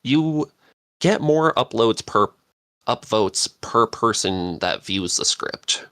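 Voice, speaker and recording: A man giving casual advice as a podcast panelist, halting and thinking aloud as he goes. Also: lowish voice, lively intonation, a bit bright, dry recording, clean studio sound. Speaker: podcast panelist, script writer.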